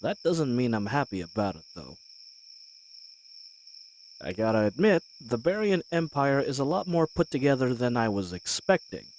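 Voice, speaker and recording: Man acting a wry, animated bandit leader. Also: medium pitch, moderate pace, a little hiss or hum, poor audio. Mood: wry, scheming. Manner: folksy, colloquial, wry. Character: cocky, wary male bandit leader plotting a heist. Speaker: voice actor in a scripted roleplay audio. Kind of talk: scripted fantasy audio roleplay, addressing the listener.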